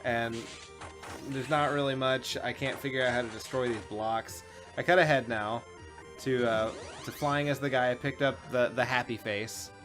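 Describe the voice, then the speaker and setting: A man's voice: mid-range voice, fairly even intonation, moderate pace, a bit bright. Casual man commentating over game music. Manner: informal, profane. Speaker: video game show co-host and player.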